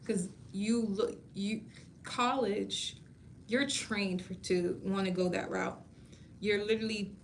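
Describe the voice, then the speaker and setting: A woman in her thirties talking casually to her livestream viewers, playful and chatty, calling them baby and laughing often. Her voice sits at an ordinary middle pitch. A faint hum of noise runs underneath. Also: creaky. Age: 30s. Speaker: beauty school staff and livestream host.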